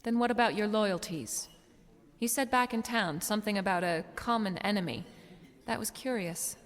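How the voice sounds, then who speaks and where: A woman's voice: mid-range voice, normal speed, natural voice. Woman acting a tough rebel commander, reverberant sound. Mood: probing, wry. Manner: firm, probing, authoritative. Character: tough, guarded female rebel commander in space opera. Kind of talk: audio drama acting.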